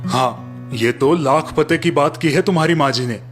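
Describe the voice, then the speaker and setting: Earnest cartoon family man played by a man, fairly high male voice, music underneath. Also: moderate pace, close to an everyday voice. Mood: questioning, approving. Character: earnest Indian family men: puzzled father, husband. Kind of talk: cartoon moral-story voice acting.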